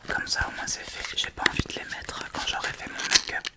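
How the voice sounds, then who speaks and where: A woman whispering a casual ASMR makeup tutorial in a breathy, unvoiced voice, speaking informally and a little hesitantly to the viewer. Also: fairly quick. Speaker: ASMR video creator and host.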